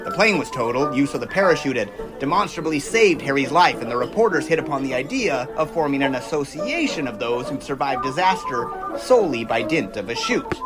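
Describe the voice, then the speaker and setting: A man's voice: medium pitch, animated, brisk, few pauses, music in the background, natural voice, rough recording. A man playing a confident, seasoned 1920s test pilot in a scripted audio drama, talking in a folksy, assured, explanatory way. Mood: wry, folksy.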